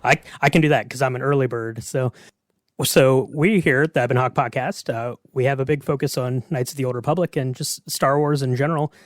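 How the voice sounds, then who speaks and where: A man's voice: medium pitch, fairly even intonation, brisk, no echo, studio quality. A man hosting a podcast, casually chatting and putting questions to a guest. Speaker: podcast host.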